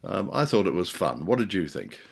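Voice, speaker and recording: A man's voice: deep voice, sing-song, a little fast, gravelly, breathy edge. A man in his sixties hosting a casual review chat, jokey and wordy, on a video call. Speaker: show host. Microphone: video call, wearing headphones.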